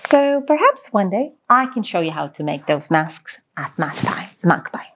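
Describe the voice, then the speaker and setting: A woman reading a children's story aloud in a playful, expressive manner, adding her own asides. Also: on the low side, moderate pace, a little hiss or hum.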